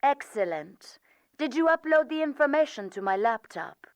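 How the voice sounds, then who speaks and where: A woman's voice: sits high, sing-song, moderate pace, dry recording. A woman voicing a calculating female spy, controlled and formal, in a dramatic video game. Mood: cool, menacing.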